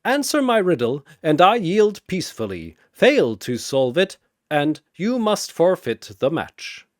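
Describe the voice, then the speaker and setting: A man voicing a jovial, wise and sly old brewmaster warrior who talks in measured, ceremonious riddles, as in a fantasy story. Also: fairly high pitch, huge pitch swings, no echo, studio quality, pitched above the performer's own voice. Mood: sly, challenging.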